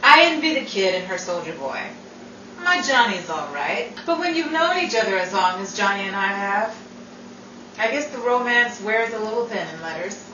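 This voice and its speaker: Actress as a wry, animated wartime army gal, echoey. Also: female voice, mid-range voice, normal speed, distant mic. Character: wry, frank wartime army woman with soft heart. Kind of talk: 1940s-style radio drama acting. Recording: noisy, dull, echoey room from afar.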